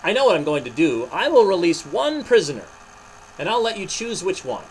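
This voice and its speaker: Exasperated Roman governor for a storybook, done by a male reader: commanding, questioning, pitched high, very animated, slight hum. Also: fairly quick, rough recording. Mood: proclaiming. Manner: loud, questioning, emphatic.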